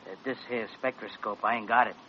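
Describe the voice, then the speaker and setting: An actor playing an evasive, slangy American pawnbroker who repeats himself nervously in an old-time radio drama. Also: male voice, medium pitch, fairly even intonation, fast talker, lightly acted. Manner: streetwise slang, stammering repeats. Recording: dull old radio recording, faint noise.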